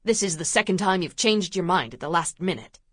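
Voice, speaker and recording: A woman voicing a stubborn, hurt young male rancher in an audiobook, speaking low, firm and hushed. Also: lively intonation, a little slow, dry recording, studio quality. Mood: quiet, stern.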